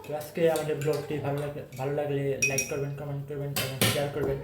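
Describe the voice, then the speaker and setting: A man's voice: fairly high pitch, monotone, rapid-fire. A young man with a Bangladeshi accent, chatting casually in a family vlog at home. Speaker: elder son of the vlogger. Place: indoors at home. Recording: echoey room with loud household noise.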